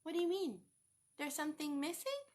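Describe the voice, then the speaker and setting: A woman's voice: high voice, sing-song, a little fast, darkish tone. A woman reading a picture book aloud to young children, expressively and with questions to the listeners. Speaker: children's storytime reader.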